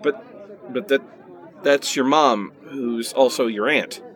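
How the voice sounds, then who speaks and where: A man with a husky voice playing a grumpy, bewildered, defensive boyfriend in a parody radio play. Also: medium pitch, takes it slowly, a bit bright, some chatter behind.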